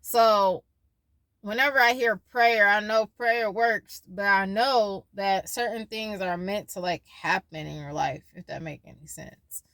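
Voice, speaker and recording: A woman's voice: mid-range voice, steady tone, a little slow, a bit bright, dry recording. A woman in her twenties talking casually in a personal vlog, rambling and losing her train of thought as she shares her worries and her faith. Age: 20s. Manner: worried, faith-filled musing. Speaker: vlogger.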